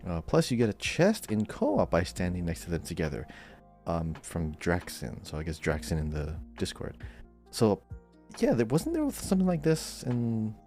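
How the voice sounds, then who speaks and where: A man's voice: sits low, wildly swooping pitch, moderate pace, darkish tone, faint music behind. A man co-hosting a gaming podcast, talking in a casual, conversational way. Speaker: podcast co-host.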